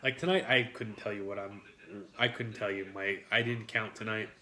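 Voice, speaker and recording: A man's voice: on the low side, steady tone, normal speed, sharp tone. Rambling, cursing New York man. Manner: rambling, profane, jokey with chat. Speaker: streamer eating on camera. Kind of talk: casual live-stream chat while eating.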